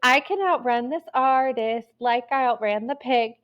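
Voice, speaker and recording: A woman's voice: on the high side, a little slow, clean tone, lo-fi sound, pitched above the performer's own voice, close to an everyday voice. Woman voicing a sassy singsong gingerbread girl. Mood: playful, boastful. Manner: singsong rhyming taunts. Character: sassy, confident young gingerbread cookie girl, taunting in singsong. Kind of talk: children's storybook read-aloud.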